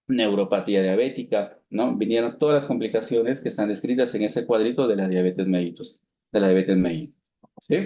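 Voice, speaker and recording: A man's voice: medium pitch, warm tone. A man in his thirties from Peru giving a medical lecture to students, explaining step by step, asking and answering his own questions, with many fillers and restarts. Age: 30s. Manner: many fillers like digamos and esto, frequent restarts. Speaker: physician lecturer.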